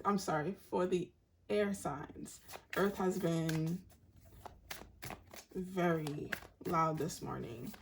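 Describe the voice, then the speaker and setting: A woman giving a tarot reading to her online audience in a soft, meandering, spiritual way, with a raspy voice. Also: on the low side, background noise. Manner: soft-spoken and meandering, unhurried spiritual talk. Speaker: tarot reader.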